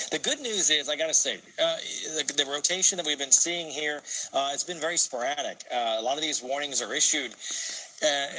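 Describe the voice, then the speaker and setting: A man's voice: mid-range voice, sharp tone, slight background noise, poor audio. An American man working as a TV meteorologist, giving live unscripted severe weather commentary and explaining as he thinks aloud.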